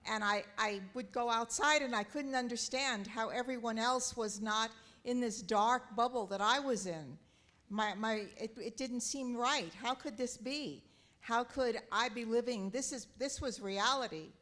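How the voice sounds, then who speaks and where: A woman from Southern California with a loud voice, sharing a personal reflection from the audience at a church talk, earnest and halting as she searches for words. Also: fairly high pitch. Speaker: audience member. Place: church auditorium.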